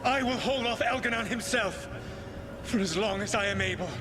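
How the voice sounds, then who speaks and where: An actor playing an ancient, proud elven rebel god in a game, speaking in a measured, formal, grave way. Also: male voice, sits high, heavy background noise, close to an everyday voice. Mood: urgent. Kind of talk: dramatic game dialogue. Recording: loud music behind the voice.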